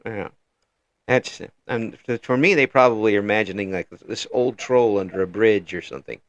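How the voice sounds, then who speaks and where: A man hosting a casual interview, talking in halting restarts and chuckling often. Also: on the low side, no echo, highs cut off. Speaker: interview show host. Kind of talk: casual video-call interview chat.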